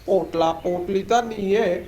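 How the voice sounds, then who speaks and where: Grumbling, defiant village farmer for a cartoon, played by a man: high male voice, slow, birds chirping noisily behind. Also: highs cut off. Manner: grumbling to himself. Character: grumbling, defiant poor farmer scheming to flee. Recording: noisy track with chirping birds.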